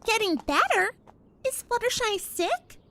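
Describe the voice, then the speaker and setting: Casting: excitable female cartoon pony, extremely high bright voice, dramatic, pushy delivery. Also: measured pace, heavily acted. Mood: curious. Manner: dramatic, insistent. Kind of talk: cartoon fan fiction character reading. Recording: music under the voice.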